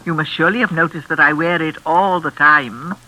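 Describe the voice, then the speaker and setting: An actress playing a deranged older mistress of a lonely house in a 1940s radio thriller, with genteel, deliberate menace. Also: female voice, low voice, bright, forceful tone. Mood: eerily sweet. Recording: crackly 1940s radio broadcast.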